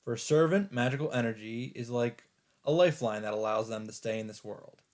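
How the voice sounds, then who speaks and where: A man reading a visual novel aloud as a let's play host, in dramatic, expressive narration with deliberate phrasing and pauses. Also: medium pitch. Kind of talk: dramatic narration read aloud from on-screen text. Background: background music.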